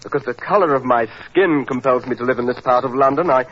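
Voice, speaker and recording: A man's voice: mid-range voice, quick pace, natural voice. A male actor plays a proud, defensive young man in an old radio play. He speaks emphatically and with indignation. The recording is muffled and has some noise. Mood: defensive, sullen. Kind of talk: 1940s radio acting.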